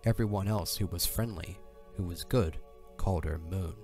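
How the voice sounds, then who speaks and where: Low-voiced male storyteller. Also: moderate pace, gravelly. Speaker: narrator. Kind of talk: scripted audio drama narration. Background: soft music.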